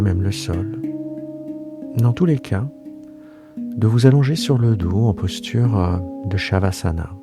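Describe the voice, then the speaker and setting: A man guiding a yoga relaxation meditation in a soothing, unhurried way, leaving long pauses between short phrases. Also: low voice. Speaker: yoga nidra guide and host. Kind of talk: guided relaxation meditation, improvised.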